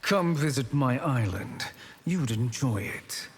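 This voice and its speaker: An actor playing an arrogant, scheming sorcerer in a video game, with a haughty, dismissive manner. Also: male voice, medium pitch, lively intonation, slow, gravelly. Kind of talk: video game villain acting. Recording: reverberant hall with faint noise.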